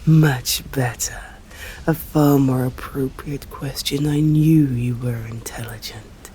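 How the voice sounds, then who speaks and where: A woman with an English accent playing a stern, courteous female vampire in an ASMR roleplay, speaking formally and deliberately in a breathy voice that often drops to a whisper. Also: extremely low pitch, fairly even intonation, warm tone, slight background noise. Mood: composed, intrigued. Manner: slow, deliberate, formal, menacing.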